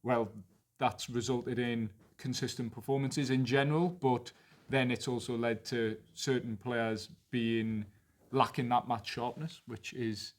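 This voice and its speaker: A man's voice: medium pitch, flat delivery, unhurried. A man with a Geordie accent co-hosting a football podcast, talking through team news fluently and thinking aloud. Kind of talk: casual football analysis chat.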